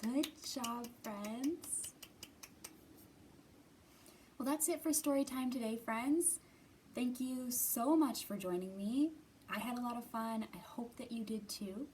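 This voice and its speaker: A woman hosting storytime for young children, reading and talking to them in simple, expressive phrases. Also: on the high side, takes it slowly, long pauses, a little hiss or hum. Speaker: children's library storytime host. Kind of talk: storytime read-aloud and singalong for young children.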